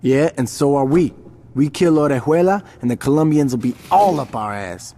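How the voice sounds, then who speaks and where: An actor playing a cocky, shrewd young gang lieutenant in a video game, with casual street-slang talk. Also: male voice, mid-range voice, animated, moderate pace, warm tone, in a large hall. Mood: cool, sizing up. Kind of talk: video game cutscene acting.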